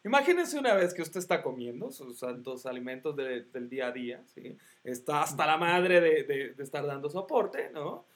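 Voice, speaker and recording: Podcast host, Mexican man, somewhat high-pitched, rambling and full of fillers. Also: big pitch swings, normal speed, few pauses. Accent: Mexican Spanish. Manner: rambling with many fillers and self-interruptions. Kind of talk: casual tech podcast chat.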